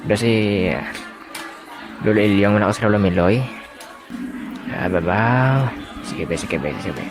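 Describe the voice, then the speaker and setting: A man playing a rambling, easygoing villager in a comic audio drama, halting and repeating himself, with a Hiligaynon accent. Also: fairly low pitch, music in the background, natural voice. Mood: bumbling, jovial. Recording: noisy, with clear crowd ambience.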